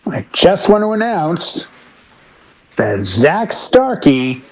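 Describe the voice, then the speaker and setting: This man speaks in a slightly high register as a panelist in a casual discussion. He is emphatic and opinionated, pressing each point insistently. His stories come with quoted lines acted out along the way. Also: sing-song, slow pace, crowd noise. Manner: acts out imagined quotes as he tells stories. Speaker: podcast panelist.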